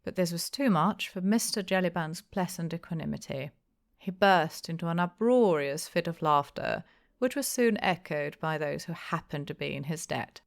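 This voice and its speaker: A woman's voice: lowish voice, normal speed, creaky. A woman reading a classic novel aloud as scripted audiobook narration.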